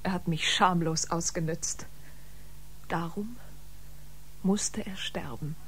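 An actress playing an unhinged, jealous female killer in a science-fiction radio drama, theatrical and halting, lapsing into whispers. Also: on the low side, fairly even intonation, a little hiss or hum. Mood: bitter, menacing. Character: unhinged, jealous woman bio-musician, confessed killer.

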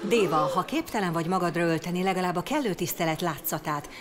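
Woman acting a low-voiced, stern council speaker. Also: close to an everyday voice. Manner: formal, commanding. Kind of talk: audio drama acting.

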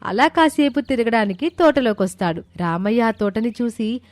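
A woman's voice: on the high side, lively intonation, normal speed, a little mellow, dry recording. A woman narrating a scripted moral story as a storyteller.

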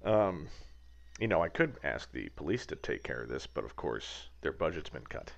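A man's voice: extremely low pitch, sing-song, normal speed, vocal fry. A man in his thirties giving a rambling, wry voice-over about his workshop build, slipping into mock rants. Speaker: aircraft builder vlogger. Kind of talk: casual voice-over commentary. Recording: slightly dull lo-fi sound.

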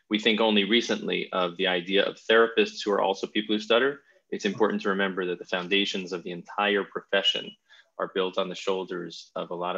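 A man in his thirties hosting a podcast interview over a video call, speaking in a measured way with small hesitations. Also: low voice, gravelly, fairly bright tone, husky, highs cut off. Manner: slow, measured, with filler hesitations. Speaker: podcast host. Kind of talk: interview questions. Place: home room. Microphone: over-ear headphones on a video call.

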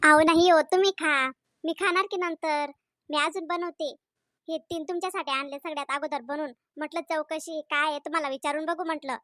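Woman voicing a bright, high-pitched, scolding young cartoon housewife. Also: fairly even intonation, brisk, no echo, clean studio sound. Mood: coaxing. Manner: chatty, insistent, scolding. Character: young married housewife, quick to take offense. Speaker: wife. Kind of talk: comedy cartoon voice acting.

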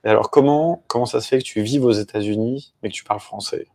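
A man's voice: medium pitch, quick pace, a little mellow. A man co-hosting a casual interview in a living room, asking questions hesitantly with restarts. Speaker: co-host. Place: apartment living room at night, indoors.

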